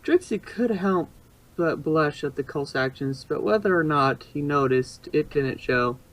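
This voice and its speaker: A man's voice: fairly high pitch, animated, measured pace, poor audio. A man narrating fan fiction as an amateur storyteller, sometimes stumbling and repeating phrases.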